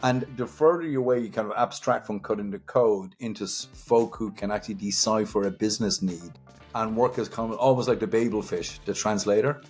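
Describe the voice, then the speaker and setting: A man's voice: lowish voice, fairly quick, darkish tone, husky, soft background music. A man in his fifties with a Dublin Irish accent, chatting as an interview guest and repeating words for emphasis. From Dublin, Ireland. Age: 50s. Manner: chatty, emphatic word repetition. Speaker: guest, technology entrepreneur. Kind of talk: conversational interview, recounting own career.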